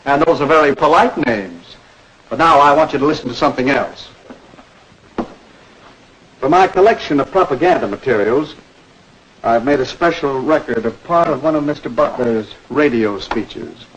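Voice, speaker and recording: An actor playing a patient campaign mentor, explaining and probing with questions in a 1940s educational film. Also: male voice, mid-range voice, moderate pace, pauses often, fairly bright tone, lightly acted. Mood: instructive. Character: patient campaign speechwriter coaching a young learner. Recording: noisy old 1940s film soundtrack.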